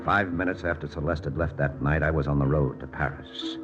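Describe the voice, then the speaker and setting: A man's voice: very deep voice, fairly even intonation. A man playing a tense American intelligence lieutenant, narrating his mission tersely in an old radio drama. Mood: grim suspense. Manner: terse, hard-boiled, deliberate. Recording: muffled old radio recording with music.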